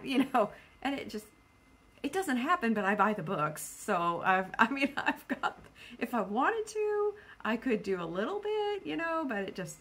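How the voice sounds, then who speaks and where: A woman's voice: on the high side, a bit bright, husky. A woman chatting casually and spontaneously as a book vlogger, rambling in a playful, self-deprecating way.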